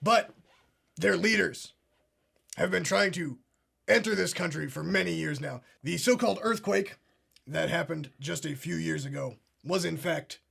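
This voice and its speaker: A pompous conspiracy show host. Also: male voice, a little high, a little slow, vocal fry, a bit bright, slightly rough, heavily acted. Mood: smug earnest. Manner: solemn, earnest, agreeing. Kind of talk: deadpan parody talk show.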